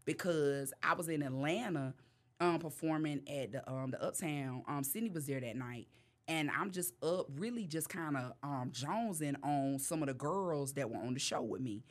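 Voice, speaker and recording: A woman comedian telling stories in a casual interview. She laughs often, fills her talk with "you know what I mean", and jumps into high voices when she quotes herself. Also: normal speed. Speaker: comedian guest.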